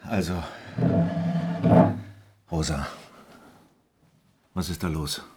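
A man's voice: steady tone, extremely slow, noisy background, full character voice. An actor playing a grave, insistent policeman in a radio drama, questioning with restraint. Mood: pressing, concerned. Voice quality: very deep, husky. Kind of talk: naturalistic German radio drama dialogue.

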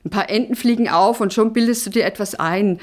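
An actress playing a teasing, sharp-tongued small-town secretary, in a film drama. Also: female voice, medium pitch, fairly quick, lightly acted. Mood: dismissive, exasperated.

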